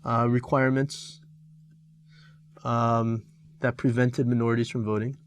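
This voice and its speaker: A middle-aged man teaching an exam review lesson, talking with many pauses, fillers and restarts. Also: mid-range voice, flat delivery, clean tone. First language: Korean.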